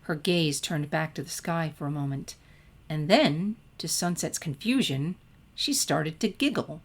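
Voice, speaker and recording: A woman reading fan fiction aloud in expressive, scripted story narration. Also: deep voice, a little slow.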